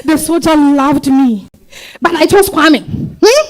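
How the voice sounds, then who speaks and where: A woman's voice: sits high, animated, slow pace, low-quality recording. A woman with a Ghanaian accent acting a defiant, exasperated wife and mother in a dramatized stage reading. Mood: wistful, aggrieved. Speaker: stage actor.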